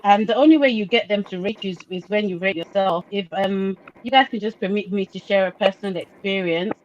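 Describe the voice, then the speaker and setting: Nigerian woman on a panel, mid pitch, emphatic and passionate, kinda dull muffled audio. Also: brisk, slight background noise. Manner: passionate, emphatic, rhetorical questions. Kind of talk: casual discussion. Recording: dull lo-fi sound.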